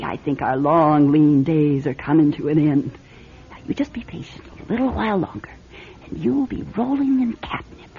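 An actress playing a scheming middle-aged fortune-teller in a classic radio drama, grandiose and coaxing in manner. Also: female voice, sits low, vocal fry, exaggerated voice. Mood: cooing, gleeful. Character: theatrical, scheming female fortune-teller and fake medium. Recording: muffled vintage radio, music behind.

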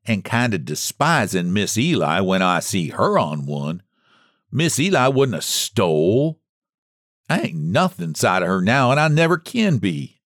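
A poor old countrywoman full of remorse, put on by a man reading a storybook, pitched well above his own low voice. She talks in a rustic country dialect, and her pitch rises and falls a great deal. The sound is close and dry. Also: sing-song, unhurried, a bit bright, no room sound. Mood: remorseful.

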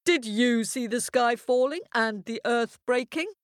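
A woman voicing a majestic male lion who speaks slowly in a lowered, commanding voice. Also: dry recording, studio quality, close to an everyday voice. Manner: slow, deep and booming.

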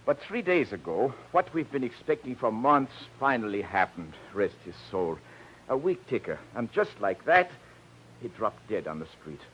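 An American actor playing an agitated, blustering radio producer in a 1940s radio drama, speaking emphatically. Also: male voice, mid-range voice, sing-song, moderate pace, fairly bright tone. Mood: worried, explaining. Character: agitated, fast-talking male radio show producer. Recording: muffled old radio recording, faint noise.

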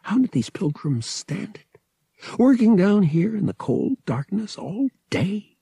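A man's voice: high-pitched, very animated, measured pace, creaky, warm tone, slightly rough, dry recording, clean studio sound. A man reading a story aloud as an audiobook narrator, in a dramatic scripted style.

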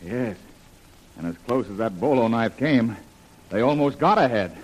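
An actor playing a calm, watchful jungle adventurer, understated, in an old radio serial. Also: male voice, medium pitch, normal speed, a little hiss or hum, close to an everyday voice, rough recording. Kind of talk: 1940s radio serial acting.

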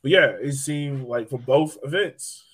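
A man's voice: medium pitch, a little slow, husky. A man hosting a podcast, chatting casually about sports. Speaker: podcast host.

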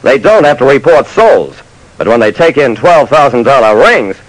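A man's voice: mid-range voice, big pitch swings, normal speed, dry recording, rough recording. An actor playing a wry, slangy detective who narrates his case in a clipped old-time radio style. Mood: narrating. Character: dry, soft-hearted male detective telling his case. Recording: vintage radio broadcast.

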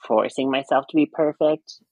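A young man in his twenties with a high voice, a nurse chatting casually as a podcast guest, rambling with many fillers and restarts. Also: moderate pace, darkish tone, dry recording. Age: 20s. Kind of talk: casual chat.

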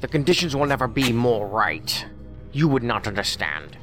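A cryptic male mystic, played by a man, speaks calmly and in riddles. His voice moves around a lot within a middle pitch. Music plays behind him. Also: natural voice. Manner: calm, cryptic, measured. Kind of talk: audio drama acting. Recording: music underneath.

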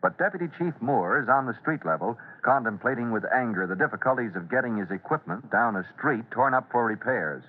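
A man's voice: medium pitch, lively intonation, fairly quick, hardly any pauses. A man narrating a 1940s-style radio serial as its announcer, dramatic and declamatory. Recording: tinny, noisy old radio broadcast.

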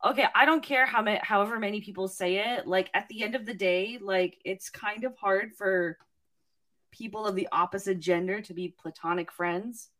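A married woman who is a podcast guest, giving her opinion in casual chat with many pauses and ums. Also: medium pitch, fairly bright tone.

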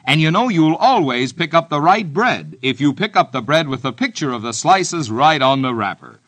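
A man's voice: animated, normal speed, a bit bright, dry recording. A man with an American accent reading a scripted, folksy vintage radio advertisement aimed at children, as a peppy announcer. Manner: peppy, folksy sales pitch. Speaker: commercial announcer.

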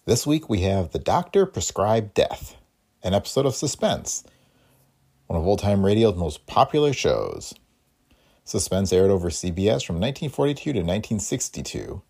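A man's voice: fairly low pitch, big pitch swings, normal speed, vocal fry, darkish tone. A man reading a scripted podcast introduction as its host.

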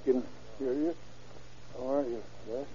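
A man's voice: mid-range voice, steady tone, a little slow, mellow, lightly acted. An actor playing a weary, strained man in an old-time radio crime drama. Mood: delirious, faint. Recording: muffled, very noisy old radio recording.

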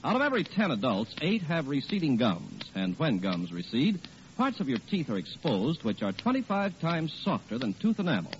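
Animated American radio announcer, swooping pitch. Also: male voice, normal speed. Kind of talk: 1940s radio announcing and ad reading. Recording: muffled old radio recording, faint noise.

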